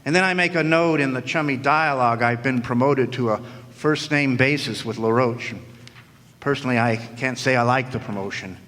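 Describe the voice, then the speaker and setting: A wisecracking hardboiled private eye, played by a man narrates in a dry, sardonic drawl. His mid-pitched voice rings with long reverb from a hall, as in a live radio play. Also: moderate pace, a bit bright, close to an everyday voice. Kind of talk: 1940s-style noir radio acting. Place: live stage performance in a hall.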